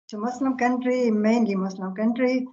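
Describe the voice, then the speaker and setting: A woman from Tunisia, an Arabic speaker, giving a workshop lecture over a video call, halting and pausing often with fillers. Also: on the high side, steady tone, dark tone. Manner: halting, with many filler pauses. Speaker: visiting lecturer. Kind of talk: online workshop presentation. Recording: muffled video-call audio.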